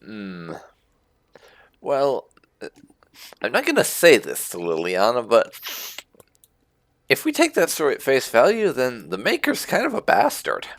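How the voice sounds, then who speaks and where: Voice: mid-range voice, huge pitch swings, unhurried, long pauses, dry recording. A video game streamer giving casual, rambling let's-play commentary with wry asides.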